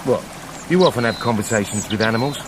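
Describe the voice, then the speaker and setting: An actor playing a cheeky, sarcastic talking wolf villain in a comic radio play, with a blunt, mocking, delivery. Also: male voice, lowish voice, fast, background noise, natural voice. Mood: mocking, argumentative.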